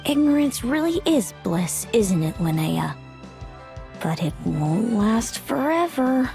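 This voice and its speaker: Creaky, smug rival. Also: female voice, a little high, big pitch swings, slow pace, mellow, soft background music, heavily acted. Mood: smug, menacing. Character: smug, ominous female rival.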